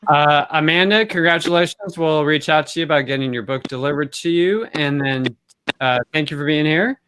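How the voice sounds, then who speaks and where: A man casually hosting an online book event in a conversational, measured way. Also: a little high, fairly bright tone, slight background noise.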